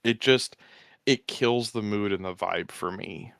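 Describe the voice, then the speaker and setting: A man guest talking easygoing and rambling about his commentary work, with a smooth voice. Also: lowish voice, unhurried, a bit bright, no room sound, clean studio sound. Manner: easygoing, rambling, restarts with fillers. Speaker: podcast guest, tournament commentator. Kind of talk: casual interview chat.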